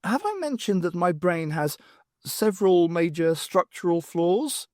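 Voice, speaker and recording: A man's voice: high voice, lively intonation, unhurried, darkish tone, no room sound, studio quality, voice pushed up. A man voicing a vain, timid, self-important male artist in a fretful, hedging manner for an audiobook. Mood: nervous.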